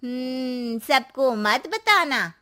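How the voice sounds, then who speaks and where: A woman voicing an older, knowing neighbourhood auntie who gives slow, ominous advice in a cartoon story. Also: high-pitched, expressive, bright, forceful tone, heavily acted. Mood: probing. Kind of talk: Hindi moral-story cartoon acting.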